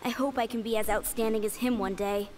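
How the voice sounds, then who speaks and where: A woman's voice: on the high side, fairly even intonation, a little fast, natural voice. A woman playing an eager, earnest young would-be knight in an anime-style video game. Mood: excited, hopeful. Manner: enthusiastic, earnest.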